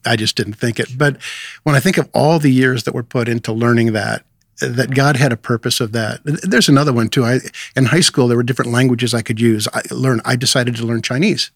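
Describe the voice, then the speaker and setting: A man's voice: medium pitch, steady tone, fairly quick, dry recording, clean studio sound. A middle-aged American man speaking as a pastor being interviewed, telling personal stories in an earnest, conversational way. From Illinois, United States. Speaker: missions and outreach pastor, interview guest.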